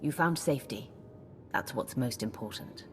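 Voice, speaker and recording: Deep-voiced stoic female warrior. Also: animated. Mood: calm, sincere. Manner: measured, dry, serious. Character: stoic, composed female Sith warrior hero. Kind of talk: video game cutscene acting. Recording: long reverb, music behind.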